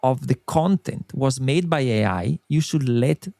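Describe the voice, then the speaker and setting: A middle-aged man from Italy with an Italian accent, a guest in an interview, explaining his views in an earnest, animated way. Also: medium pitch, measured pace, no echo, studio quality. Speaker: podcast company co-founder, guest. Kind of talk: interview conversation.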